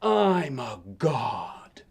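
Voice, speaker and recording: A man's voice: fairly high pitch, sing-song, drawn-out words, creaky, breathy edge, voice pushed up, heavily acted. A man voicing an imaginative, defiant young boy from a picture book, with emphatic, dramatic delivery. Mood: excited, grandiose.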